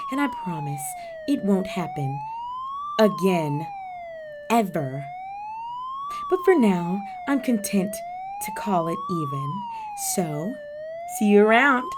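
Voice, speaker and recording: Casting: actress as sly female cat burglar for audio roleplay; flirty, coy, unhurried delivery with very lively intonation. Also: fairly high pitch, noisy background, exaggerated voice. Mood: smug, playful. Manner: coy, teasing, drawn-out phrasing with terms of endearment. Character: flirty, cunning female cat burglar villain, teasing and theatrical. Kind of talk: roleplay audio drama addressed to the listener.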